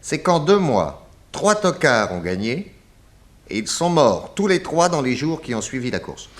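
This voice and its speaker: Radio drama lead: genial, confident sleuth, expansive and amused, mid-pitched and highly animated. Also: male voice, a little slow. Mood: thoughtful, interested. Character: genial, self-assured American private detective of 1910. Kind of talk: 1960s French radio drama acting.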